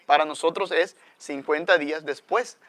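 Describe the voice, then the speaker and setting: A man's voice: on the high side, normal speed, a bit bright, no room sound. A man with a Salvadoran accent, a guest priest teaching conversationally and reflectively, often adding questioning tags.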